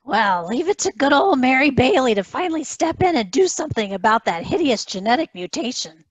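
A woman's voice: high voice, very animated, fairly quick, breathy edge, dry recording, full character voice, rough recording. A woman voicing a sensible, chiding cartoon housewife and mother.

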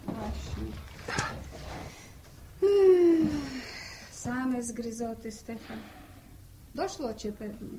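A woman's voice: fairly high pitch, expressive, extremely slow, long pauses, noisy background. An actress playing a worried, bitter village mother in an old radio drama, lamenting in rustic phrasing. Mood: weary, troubled. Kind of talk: 1960s radio drama acting.

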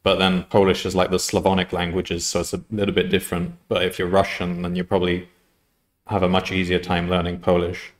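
A man's voice: deep voice, monotone, fairly quick. A man in his thirties chatting casually on a live coding stream at his desk, rambling and correcting himself, often laughing. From United Kingdom. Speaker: coding livestreamer. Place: home room at a desk.

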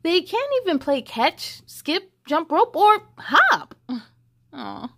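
Young female story time host, high voice, unhurried, expressive read-aloud delivery with stretched key words. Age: young adult. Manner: animated, drawn-out emphasis on rhymes. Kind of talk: expressive picture book read-aloud with chatty asides.

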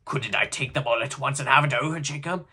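Man doing an old male miser, storybook character, faltering, deferential, businesslike yet uneasy, tense bright tone. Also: medium pitch, a little fast, husky. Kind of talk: dramatic storybook reading.

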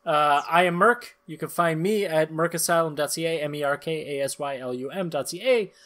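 High, bright-voiced male podcast host. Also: a little fast. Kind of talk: comic banter and mock-dramatic narration. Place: small room at home, video call.

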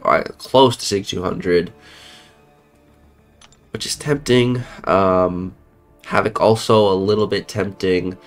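A man's voice: sits low, fairly bright tone. A young man giving casual commentary on a mobile game, sounding a bit off because he is sick, rambling and correcting himself as he talks into a headset at home. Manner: chatty, rambling, self-correcting. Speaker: mobile game content creator. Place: home room, indoors.

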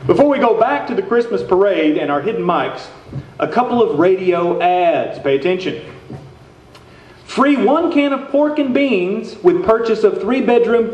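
Folksy small-town radio host played by a man, lively swoops, echoey hall, live comedy radio play. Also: high voice. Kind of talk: staged comic radio-show reading. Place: theater stage before an audience. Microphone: stand microphone.

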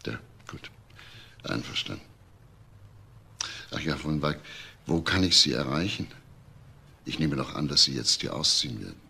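Deep gravelly police inspector. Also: male voice, lively intonation, sharp tone, full character voice. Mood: pressing, halting. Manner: probing, halting, clipped questions. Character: male police inspector, probing, controlled, businesslike. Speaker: actor. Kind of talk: German crime radio drama acting.

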